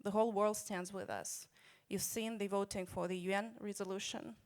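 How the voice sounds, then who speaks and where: A woman from Ukraine, an English speaker and government minister, answering on a panel in a measured, earnest way with hesitant uhs. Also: mid-range voice, steady tone.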